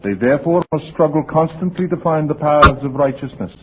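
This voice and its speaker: Muffled old radio recording, sinister pious actor as lodger. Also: male voice, on the high side, fairly quick, background noise, natural voice. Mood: righteous, stern. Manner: formal, sanctimonious, preaching. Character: sinister, fanatically pious male lodger obsessed with sin. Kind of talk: 1940s radio acting.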